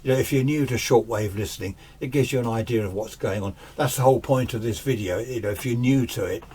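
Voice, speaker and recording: A man's voice: medium pitch, fast talker, mellow, slightly rough. A middle-aged man giving a casual, chatty, self-deprecating demonstration as a radio hobbyist, recorded on a camera's built-in microphone. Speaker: amateur radio hobbyist and host. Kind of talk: casual hands-on demonstration commentary. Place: home radio shack, indoors. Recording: camera microphone with hiss and background noise. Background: faint radio hiss and static.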